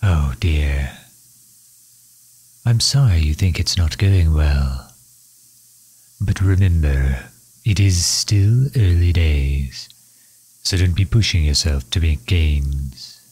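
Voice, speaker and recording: Man acting a very deep, husky gentleman villain. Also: heavily acted. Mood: gently concerned. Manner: courteous, formal, unhurried, musing aloud. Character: refined, courteous male villain, genius inventor, solicitous. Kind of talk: intimate audio roleplay, ASMR-style acting.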